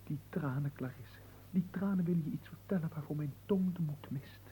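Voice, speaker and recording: An actor playing a lovelorn young manservant in a radio play, florid, evasive and poetic, stammering in melodramatic sorrow. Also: male voice, a little high, fairly quick, mellow, a little hiss or hum, lightly acted, poor audio. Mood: plaintive, pleading.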